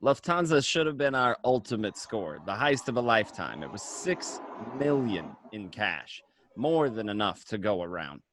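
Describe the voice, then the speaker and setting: A man playing a reflective mobster telling his story in a measured, confiding way for a crime radio drama. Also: fairly low pitch, sing-song, fairly bright tone, slight background noise. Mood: grim, reflective. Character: reflective adult male mobster narrator named Henry. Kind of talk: first-person crime radio drama narration.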